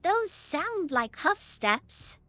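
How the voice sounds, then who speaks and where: Prim lady pony played by a woman, high-pitched, unhurried and refined, for a cartoon fantasy story with music. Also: very animated, a bit bright, dry recording, heavily acted. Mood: puzzled. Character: genteel, prim female pony. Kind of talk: dramatised fan fiction reading.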